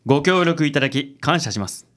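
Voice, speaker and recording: A man's voice: on the high side, expressive, brisk, a bit bright. A man playing a suave, overzealous, self-styled handsome man in a comic radio drama, pompous and passionate, sprinkling in English words. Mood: pompous, confident.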